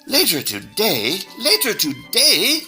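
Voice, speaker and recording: A man playing a fussy, flustered Victorian gentleman in a farcical audio drama, fretful and exclaiming. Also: high voice, wildly swooping pitch, slow, soft background music, full character voice. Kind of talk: audio drama farce acting.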